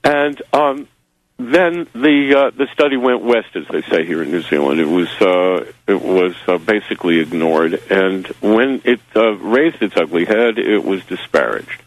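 Low-voiced man, halting and wry. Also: animated, no room sound, low-quality recording. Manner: halting, heavy with fillers and wry asides. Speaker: magazine publisher and interview guest. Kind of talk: rambling radio interview answers. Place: New Zealand.

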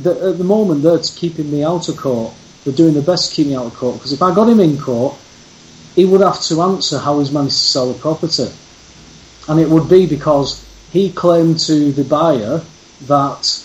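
A man's voice: fairly high pitch, a little mellow, muffled recording, slight background noise. A man speaking as a guest in a casual conversation, laying out his case in an earnest, insistent way.

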